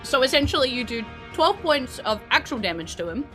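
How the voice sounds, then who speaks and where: A woman with a New Zealand accent, narrating a tabletop game casually as game master, piecing it together in loose, halting phrases. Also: sits high, sing-song, sharp tone, faint music behind. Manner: hesitant phrasing peppered with "like".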